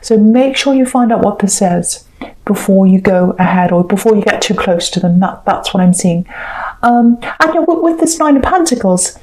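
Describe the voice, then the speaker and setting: A woman with a soft-edged, mellow voice talks through a tarot reading. She speaks unscripted and straight to the listener, feeling her way through each thought and circling back to repeat key phrases. Her pitch sits in an ordinary middle range for a woman. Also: lively intonation, moderate pace, low-quality recording. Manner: hesitant, reflective, repeats phrases for emphasis. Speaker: tarot reader, host. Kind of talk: intuitive tarot reading, unscripted talk to the viewer.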